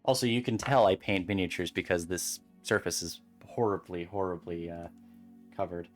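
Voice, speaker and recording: A streamer chatting casually and calmly while beading at a home desk, rambling gently with many fillers. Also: low voice, moderate pace, soft background music. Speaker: crafting streamer.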